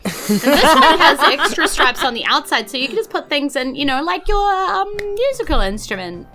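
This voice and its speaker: A woman's voice: high-pitched, sing-song, moderate pace, bright, forceful tone, faint music behind, pitched above the performer's own voice, heavily acted. A woman voicing an eager, condescending shopkeeper in a fantasy tabletop game, with gushing, hesitant sales patter. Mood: eager selling. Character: eager, condescending female adventuring-gear shopkeeper, cheerfully evasive.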